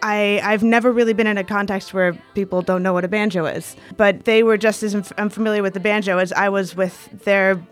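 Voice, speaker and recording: A woman's voice: mid-range voice, steady tone, brisk, hardly any pauses. A woman from Virginia with an American accent, a musician recalling her experiences in a casual interview. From Virginia, United States. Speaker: banjo and guitar player. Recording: music bed under the voice.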